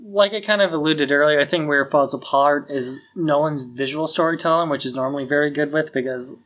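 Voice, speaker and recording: Slightly higher-voiced man, casual podcaster. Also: a little fast, a bit bright. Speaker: podcast co-host. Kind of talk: casual film discussion.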